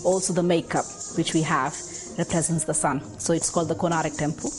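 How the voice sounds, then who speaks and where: A woman speaking in a documentary interview, explaining the history and customs of a classical dance with frequent pauses and fillers. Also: low voice, steady tone, faint music behind.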